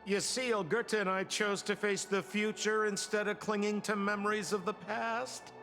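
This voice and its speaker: A man's voice: high voice, level tone, normal speed, a bit bright. A man voicing a sly, smug, theatrical con man who runs a hotel in a video game, drawling his words. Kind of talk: acted video game dialogue. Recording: reverberant, with music behind.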